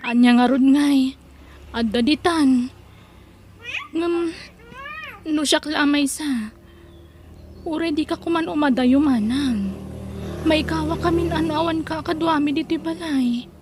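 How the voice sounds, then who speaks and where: A woman playing a gentle, caring younger sister in an audio drama, with an Ilocano accent. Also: high-pitched, slight background noise. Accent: Ilocano Filipino.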